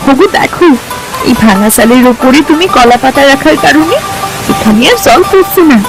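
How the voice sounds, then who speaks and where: A woman performs a caring village wife from a cartoon folk tale, her voice swinging widely in pitch. She pleads, coaxes and gently scolds with anxious devotion. Loud music plays behind her. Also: lively intonation, normal speed, low-quality recording. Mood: excited, coaxing. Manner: coaxing, pleading, tenderly scolding. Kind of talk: animated folk tale dialogue.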